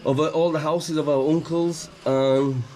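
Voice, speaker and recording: A man's voice: fairly high pitch, fairly even intonation, a little slow, echoey room, background noise. A man giving a joking, rambling tour of his family's old village house. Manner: joking, rambling, loose tour-guide talk. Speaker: vlogger. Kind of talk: casual chat, touring a village house.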